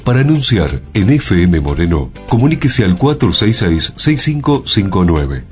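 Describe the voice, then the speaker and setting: A male Argentine radio announcer with a low, lively voice reads a polite, measured promo over clear background music. The read is unhurried and courteous. The station sound stays clean. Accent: Argentine Spanish. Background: music bed.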